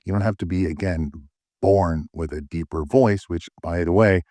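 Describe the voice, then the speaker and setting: A man with a deep voice giving dating advice straight to the camera, emphatic and often checking in with "all right?". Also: normal speed, dark tone, no echo. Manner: fillers and restarts. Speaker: dating coach. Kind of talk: direct-to-camera advice talk.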